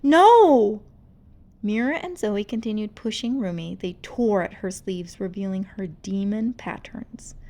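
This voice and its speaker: A woman reading a storybook aloud in an expressive, suspenseful, dramatic narrating style. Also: medium pitch, moderate pace, hardly any pauses. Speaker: storybook reader.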